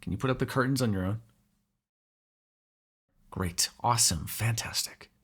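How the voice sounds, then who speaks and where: A man's voice: on the low side, normal speed, slightly rough. A man voicing a hungry, cranky, flirtatious male vampire in an ASMR audio roleplay, sarcastic and given to swearing. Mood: sarcastic, sheepish. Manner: sarcastic, swearing, moody, shifting from murmurs to exasperated outbursts.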